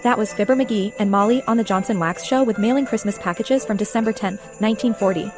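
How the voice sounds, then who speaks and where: Female presenter, medium pitch, scripted radio-show introductions over a music bed. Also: quick pace. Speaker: program host. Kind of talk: scripted introduction of old-time radio shows.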